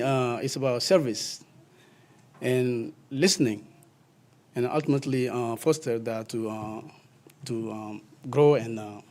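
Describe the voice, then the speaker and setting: A man's voice: mid-range voice, a little mellow. A young man from Mali giving a short, hesitant speech to introduce himself as a student.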